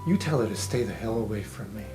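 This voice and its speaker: A man acts out a young male dark elf student in a tabletop roleplay. He is sullen and guarded, with a sarcastic, defensive edge, and music plays clearly behind him. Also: lowish voice, expressive, fast, voice pushed down, natural voice. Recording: music under the voice.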